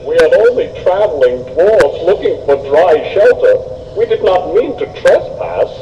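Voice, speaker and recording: A man's voice: on the high side, big pitch swings, measured pace, mellow, dull audio, a little hiss or hum, voice pushed up. A man playing a proud dwarf leader in a children's storybook, stern, formal and commanding. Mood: defensive. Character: proud, richly dressed male dwarf leader, grave and commanding. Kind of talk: children's storybook read-along acting. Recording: music behind the voice.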